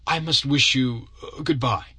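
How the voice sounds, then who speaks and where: A man's voice: medium pitch, measured pace, sharp tone, husky, no room sound, pitched above the performer's own voice, heavily acted. A shy, awkward rich suitor who stammers hesitantly, in a voice a little above the reader's own, as a male narrator plays him. The sound is close and dry. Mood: flustered.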